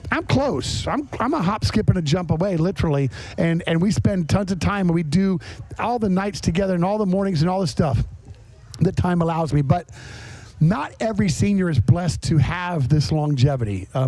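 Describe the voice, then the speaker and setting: A man with a fairly high-pitched voice hosting a casual interview. He is chatty and rambles, doubling back on his words as he works toward a question. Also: darkish tone, dry recording, rough recording. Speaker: podcast host.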